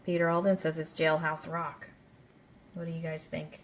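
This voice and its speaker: A woman's voice: sits low, little pitch movement, normal speed. A woman hosting a casual live-streamed radio quiz, chatty and speaking directly to her listeners. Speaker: radio show host.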